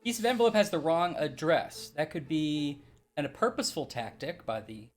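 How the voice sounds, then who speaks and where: A man's voice: on the high side, animated, moderate pace. Young man, casual let's-play, thinking aloud, hesitant, faint music behind. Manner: thinks aloud, hesitant, reasons through clues. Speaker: game streamer.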